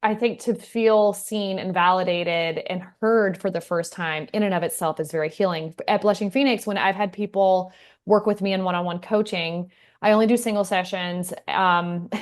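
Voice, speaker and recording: A woman hosting an interview, speaking in a reflective, conversational way as a coach. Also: on the low side. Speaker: host, coach.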